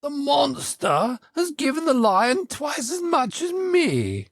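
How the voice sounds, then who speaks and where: A haughty, peevish unicorn from a children's storybook. The voice is pitched very high above a normal speaking range, and it exclaims everything with loud indignation. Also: male voice, wildly swooping pitch, slow pace, no room sound, studio quality, full character voice. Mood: indignant complaint.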